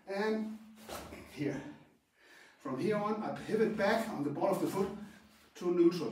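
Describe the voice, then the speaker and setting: A man with a fairly high voice walks through karate stances while talking, in a plain and halting way with pauses between moves. He stands well back from the camera in a large dojo. The room rings with a strong echo. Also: a little mellow, a little hiss or hum. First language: Danish. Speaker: karate instructor. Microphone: camera some distance away while he moves. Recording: very echoey hall, voice far from the mic.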